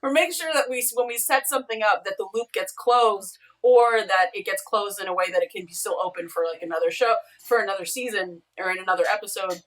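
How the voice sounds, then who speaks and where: Female podcast creator as interview guest: mid-pitched, conversational and rambling, recorded at some distance over a video call. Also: fast, hardly any pauses, fairly bright tone. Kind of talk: casual interview chat. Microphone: video call, away from the mic.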